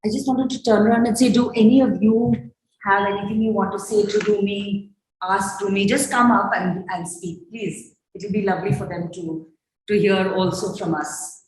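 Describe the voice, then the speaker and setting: Female discussion host, mid pitch, reflective and halting delivery, heavily reverberant room. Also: steady tone, darkish tone, a little hiss or hum. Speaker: host of a post-performance discussion. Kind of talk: unscripted spoken reflection at an arts event. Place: reverberant indoor event space. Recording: very echoey room.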